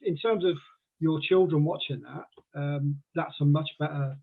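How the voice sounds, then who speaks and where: Here is a man in his forties whose accent mixes Welsh and southeast English, chatting loosely on a call and rambling through his thoughts. His voice is husky and sits a little high, and it comes through a narrow, tinny phone-like line. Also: measured pace. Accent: mixed Welsh and southeast English. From Wales. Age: 40s. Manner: chatty, rambling with many fillers and restarts. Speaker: guest. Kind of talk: casual podcast conversation.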